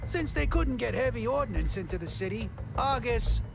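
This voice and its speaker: High gravelly voice, tiny male rodent inventor, cocky, crude, insulting, game cutscene, music behind. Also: animated, normal speed, heavily acted. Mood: cocky, businesslike. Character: small, crude, wisecracking male gerbil inventor. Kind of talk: video game cutscene acting.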